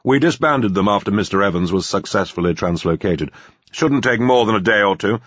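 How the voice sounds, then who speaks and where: A man voicing a sober corporate security chief in an audiobook, measured, formal and matter-of-fact. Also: fairly low pitch, lively intonation, fairly bright tone, no room sound, lo-fi sound, pitched above the performer's own voice.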